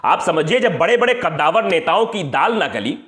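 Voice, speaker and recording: Guy doing political news commentary, high pitched voice, really animated and emphatic, kinda chatty wording, very echoey. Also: normal speed. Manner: emphatic, colloquial, rhetorical questions. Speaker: news presenter. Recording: echoey room.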